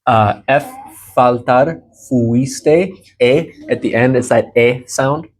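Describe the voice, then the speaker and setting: Male language teacher, 30s, American, mid-pitched, encouraging and explanatory, informal small-group lesson. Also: slow. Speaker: Spanish teacher. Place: small indoor room beside a large monitor.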